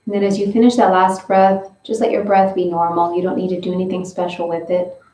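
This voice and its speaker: Female yoga-style instructor, soft guided cues, recorded in a roomy space a bit off-mic. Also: lowish voice, flat delivery, distant mic, slight background noise. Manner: slow, gentle step-by-step instructions. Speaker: breathing and movement instructor. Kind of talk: guided breathing and stretching session.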